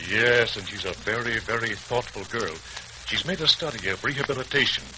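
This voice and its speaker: An actor playing a measured, protective middle-aged father in a 1940s radio serial. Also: mid-range voice, close to an everyday voice. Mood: approving, protective. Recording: noisy old radio recording.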